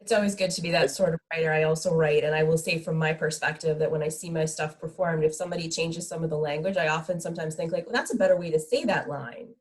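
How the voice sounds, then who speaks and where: A woman's voice: sits low, fairly even intonation, fast, hardly any pauses. A woman moderating a casual live question-and-answer session over a video call.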